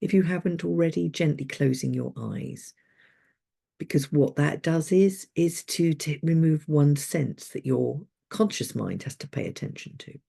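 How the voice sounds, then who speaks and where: A woman with a soft, breathy voice leads a guided sleep meditation as a coach, soothing, unhurried and gently instructive. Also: low voice.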